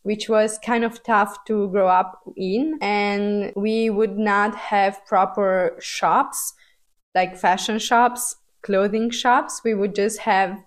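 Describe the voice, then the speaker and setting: A woman's voice: medium pitch, fairly even intonation, takes it slowly. A young woman from Romania hosts a casual, personal podcast monologue, thinking aloud in a conversational way as a Romanian speaker. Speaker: podcast host.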